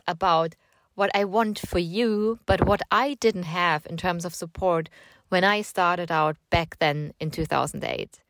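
A woman's voice: lowish voice, fairly bright tone, no room sound. A woman in her thirties with a slight German accent touched by American and British, hosting a solo podcast in a casual, rambling, chatty way that often repeats words. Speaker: podcast host and writing coach. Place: home in the countryside.

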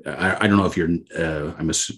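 A man's voice: low voice, fairly even intonation, a little fast, fairly bright tone. A man from Canada hosting a casual interview over a video call, friendly and rambling, full of fillers. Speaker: interview host.

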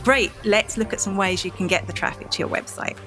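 A woman's voice: medium pitch, fairly quick, music in the background. A woman speaking as a podcast guest, telling her story in a casual, conversational way. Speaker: podcast guest, digital marketing trainer.

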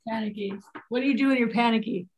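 A woman with a fairly high voice leads a coaching-style talk. She asks blunt, probing questions. She mixes jokes into her guidance. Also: fast talker, low-quality recording. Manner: direct, joking, probing questions. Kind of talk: guided coaching conversation.

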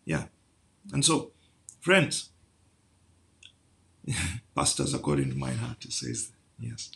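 A man's voice: lowish voice, wildly swooping pitch, slow, vocal fry, a bit bright. A man preaching a sermon, building through rhetorical questions to emphatic peaks.

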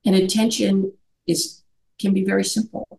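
A woman's voice: medium pitch, level tone, normal speed, gravelly, darkish tone, low-quality recording. A middle-aged woman who works as a life coach, talking earnestly over a video call and often checking in with "right?". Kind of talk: conversational video-call interview.

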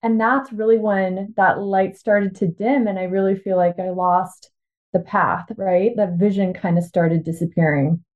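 A woman with an American accent, chatting informally and excitedly as a podcast guest telling her own story. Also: mid-range voice, measured pace. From Washington State, United States. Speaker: podcast guest, life coach. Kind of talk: casual chat, telling personal story. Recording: thin phone-like call audio.

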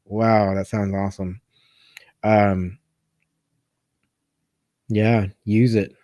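Mellow, halting man chatting casually. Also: on the low side, flat delivery, no room sound, highs cut off. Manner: halting, thinks aloud with repeated rephrasing. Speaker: catch wrestling coach hosting a live Q&A. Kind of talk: casual live chat answering viewer comments.

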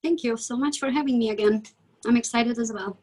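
A woman's voice: a little high, brisk, darkish tone. A young adult woman from Hungary, speaking with a Hungarian accent as a podcast guest, candid and reflective, often hedging with "I feel like". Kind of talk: podcast interview.

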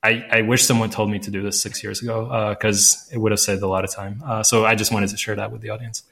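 A man's voice: lowish voice, level tone, quick pace, gravelly, a bit bright. A man from Latvia, a native Latvian speaker, talking in English as an interview guest, easygoing and friendly with many fillers. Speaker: guest, recruitment company founder. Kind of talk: casual interview answers.

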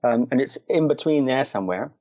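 A man's voice: mid-range voice, lively intonation, brisk, dark tone, no echo, lo-fi sound. A man from the Shetland Islands in Scotland telling stories as an interview guest, with dry, wry humour and a hesitant, qualifying way of talking. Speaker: interview guest, meditation and martial arts practitioner. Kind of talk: conversational interview, storytelling.

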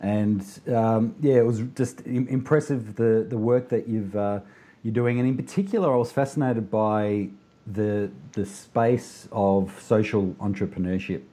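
A man's voice: fairly low pitch, a little slow, mellow. A man hosting a coaching podcast, introducing a guest and asking long, hesitant questions. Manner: hesitant, many fillers and restarts when asking questions. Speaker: podcast host. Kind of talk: podcast interview.

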